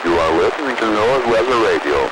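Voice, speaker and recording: The radio signal is swamped with noise. A man with a lowish voice delivers a scripted station identification as an announcer. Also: lively intonation, fairly quick, a loud crowd. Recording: very noisy, babbling weather radio reception.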